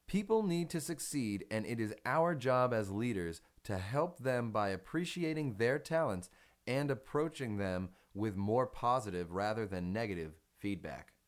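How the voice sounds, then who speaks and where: A man reading a business article aloud as scripted narration, in a measured, instructional manner. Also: lowish voice.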